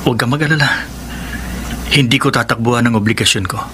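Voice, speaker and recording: Actor as guilty filipino guy in a radio soap, earnest, mid-pitched voice, pretty noisy recording. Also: fast talker, close to an everyday voice. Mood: firm, reassuring. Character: Paolo, guilty but calming male betrayer, conciliatory.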